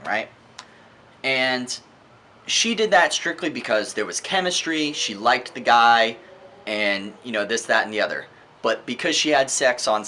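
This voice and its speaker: A man's voice: medium pitch, pushed and bright, a little hiss or hum. A man speaking casually and emphatically in an opinionated video monologue as a book-reviewing host, repeating words for effect and swearing freely. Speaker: vlog host reviewing books.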